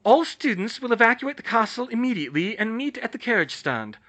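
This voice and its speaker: Stern older headmistress, bossy and clipped, pitched up, guy doing the voice. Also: sing-song, moderate pace, pushed and bright, exaggerated voice. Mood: announcing. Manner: stern, authoritative.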